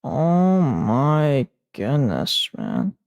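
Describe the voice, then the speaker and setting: A man's voice: mid-range voice, wildly swooping pitch, drawn-out words, creaky, a little mellow, a little hiss or hum. A man hosting a reaction video, giving casual, slangy commentary and muttered asides.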